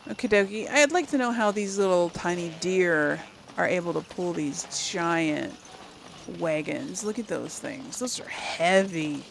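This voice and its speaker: A woman's voice: lowish voice, animated, measured pace, gravelly, slight background noise. A woman doing a casual let's play, thinking aloud and reading game dialogue options as she role-plays. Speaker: gaming channel host role-playing a video game.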